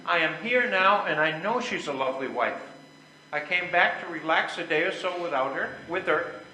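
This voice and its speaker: Older man acting a high-voiced, blustering husband. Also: moderate pace, pushed and bright. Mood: defensive, blustering. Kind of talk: amateur readers theater play. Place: indoor live stage reading. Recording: echoey room, faint background noise.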